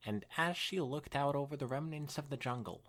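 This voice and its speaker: A man's voice: on the high side, fairly quick, fairly bright tone. A man co-hosting a casual podcast, reading a story aloud and making dry, teasing remarks. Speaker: podcast co-host.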